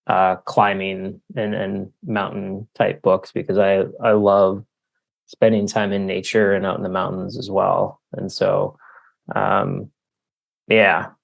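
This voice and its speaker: A man with a low, gravelly voice answers interview questions casually. He speaks slowly and haltingly, pausing to think and searching for words as he goes. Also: completely flat delivery, highs cut off. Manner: halting, thoughtful, searching for words with long hesitations. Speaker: author being interviewed. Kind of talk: casual reflective interview talk.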